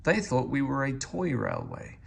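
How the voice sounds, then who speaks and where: A man's voice: mid-range voice, wildly swooping pitch, a little slow, gravelly, husky, full character voice. A man voicing a small, encouraging railway boss in a storybook, authoritative and approving. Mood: proud.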